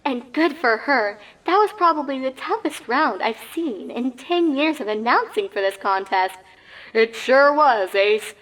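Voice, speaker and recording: A woman voicing an excitable pony sports announcer with hyped, showy broadcast delivery in a comedic story reading. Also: sits high, a little slow, pitched above the performer's own voice, poor audio. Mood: upbeat, showy.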